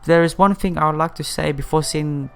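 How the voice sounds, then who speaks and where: A bashful, sweet-natured schoolgirl from a visual novel, acted out by a man. The voice is lifted well above a male register and speaks gently and shyly. Music plays behind it. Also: a little high, fairly even intonation, fairly quick, gravelly, voice pushed up. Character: shy, sweet young schoolgirl with a friendly smile. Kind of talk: visual novel character read aloud.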